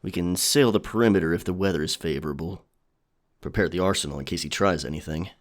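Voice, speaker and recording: A man's voice: low voice, quick pace, lightly acted. A man playing a cool, businesslike pirate in an audio drama, speaking in a terse, commanding, matter-of-fact way. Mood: planning, firm. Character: Grayson, cool, businesslike male pirate, wryly smiling. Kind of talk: audio drama character acting.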